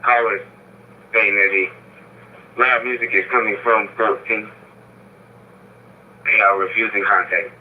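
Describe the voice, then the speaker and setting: Deep-voiced man on a tinny police radio. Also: steady tone, unhurried, pauses often. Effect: two-way police radio. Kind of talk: police scanner radio traffic. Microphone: police radio heard through scanner. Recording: tinny, noisy police scanner feed.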